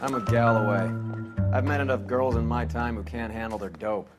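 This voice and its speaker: An actor playing a jaded, opinionated police detective sidekick in a video game. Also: male voice, medium pitch, steady tone, moderate pace, close to an everyday voice. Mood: casual. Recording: loud music behind the voice.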